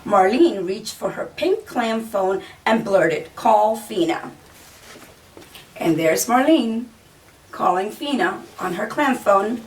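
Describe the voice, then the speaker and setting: A woman reads a children's story aloud with deliberate phrasing. Her mid-range voice rises and falls expressively. She sounds a little distant from the microphone, with faint noise behind her. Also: mid-range voice, expressive. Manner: deliberate, pauses to point out the pictures. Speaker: children's author reading own picture book aloud.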